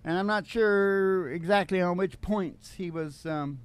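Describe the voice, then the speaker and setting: A man hosting a comedic talk radio show, rambling in a folksy, irreverent way with halting digressions. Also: sits high, smooth, no room sound.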